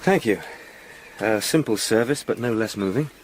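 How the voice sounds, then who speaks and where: An English actor playing a polite, earnest young solicitor in a radio drama, speaking in a courteous, formal way. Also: male voice, fairly low pitch, normal speed, a little hiss or hum, lightly acted. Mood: subdued, polite. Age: young adult. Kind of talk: British radio drama acting.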